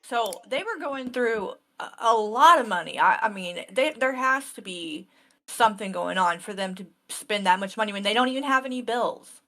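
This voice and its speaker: A woman's voice: mid-range voice, animated, normal speed, sharp tone. A woman chatting casually as a panelist on a livestream, commenting on what is discussed.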